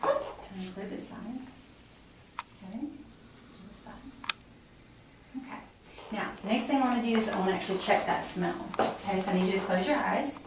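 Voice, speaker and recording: A very reverberant, distant recording of a woman conducting a clinical examination. She gives step-by-step instructions in a polite, reassuring way, over clear background noise. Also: medium pitch, normal speed, long pauses. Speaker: examiner performing a physical assessment. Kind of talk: clinical exam talk to a patient, explaining each step.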